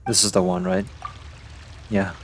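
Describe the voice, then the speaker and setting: Casting: a cool game heroine, very low and deadpan, faint ambience. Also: female voice, a little slow, a little hiss or hum. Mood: laid-back.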